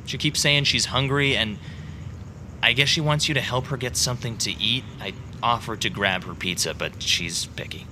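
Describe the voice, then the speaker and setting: A man's voice: medium pitch, normal speed, natural voice. Kindly, hesitant man amid street noise played by an actor. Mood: hesitant, polite. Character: helpful, slightly awkward male passer-by. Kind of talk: audio drama acting. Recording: noisy street ambience behind. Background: street and vehicle noise.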